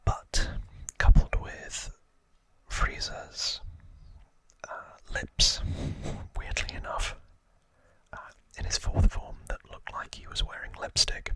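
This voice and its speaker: Slow, whispering male ASMR host. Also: no echo, rough recording. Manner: hushed, unhurried, informal explaining. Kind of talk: whispered ASMR trivia narration.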